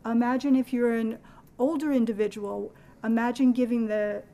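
A woman leading a group discussion as a museum educator, explaining an artwork in a clear, paced way. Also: fairly high pitch, fairly even intonation, normal speed, mellow. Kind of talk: gallery talk to a group.

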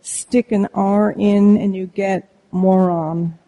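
A woman voicing a glum, world-weary moon that talks itself down in a slow, mournful way. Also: medium pitch, fairly even intonation, dark tone.

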